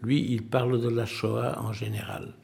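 An older man from Belgium answering interview questions, halting, with many pauses and restarts. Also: medium pitch, level tone, gravelly, darkish tone. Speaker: museum president, witness.

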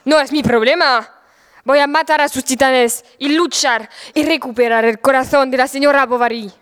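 A woman's voice: sits high, fairly even intonation, moderate pace, a bit bright, smooth, big-room sound. A teenage woman playing a deluded, defiant old knight in an audio drama, speaking in a pompous, declamatory manner. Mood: deluded, combative. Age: teens. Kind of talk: scripted audio fiction acting.

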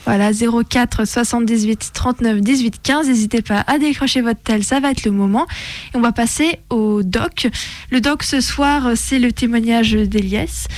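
A woman's voice: a little high, moderate pace, a little mellow, no echo. A woman presenting a live radio show in a conversational way, recorded in a studio. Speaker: radio host.

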